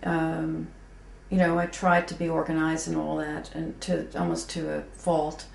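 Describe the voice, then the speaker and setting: Candid fifty-something woman, low gravelly voice. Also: monotone, a little slow. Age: 50s. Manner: self-deprecating, frank, many fillers and restarts. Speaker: graphic designer, interviewee. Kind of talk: candid reflective interview talk. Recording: echoey room with faint background hum.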